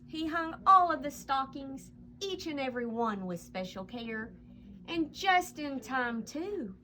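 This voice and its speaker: Bright, high-pitched woman reading a storybook. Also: slight background noise. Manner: expressive, dramatic storytelling with drawn-out emphasis. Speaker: read-aloud storyteller. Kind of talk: children's picture book read aloud.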